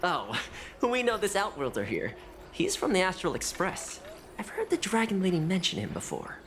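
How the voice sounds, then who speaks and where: An actress playing a wary, weary female healer in a game, plain-spoken and expressive. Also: fairly low pitch, moderate pace, a little hiss or hum. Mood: friendly.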